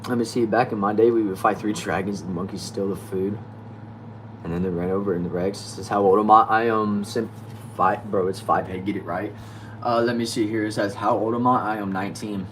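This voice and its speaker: A man's voice: fairly low pitch, steady tone, a little fast, slight background noise, poor audio. A nineteen-year-old man hosting a casual livestream, reading viewers' comments aloud and answering them loosely. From United States. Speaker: livestream host reading chat.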